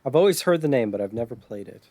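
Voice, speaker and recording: A man's voice: medium pitch, lively intonation, fast talker, dark tone, no room sound. A man co-hosting a podcast, talking in a casual, conversational style. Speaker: podcast co-host. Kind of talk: casual retro gaming chat.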